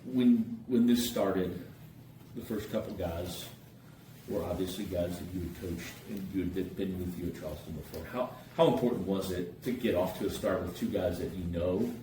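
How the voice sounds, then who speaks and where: A man's voice: sits low, a little mellow, distant mic. A man working as a sports reporter, asking a question and laughing partway through. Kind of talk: interview question. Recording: echoey room, off-mic, faint noise.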